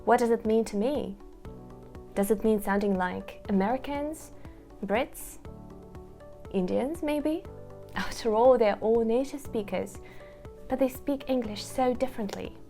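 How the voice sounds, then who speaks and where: A woman English teacher speaks in an earnest, reflective way, addressing the viewer directly. Her voice sits somewhat high, and soft music plays faintly underneath. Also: unhurried, long pauses. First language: Russian. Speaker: English teacher, course founder. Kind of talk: scripted promotional talk to camera.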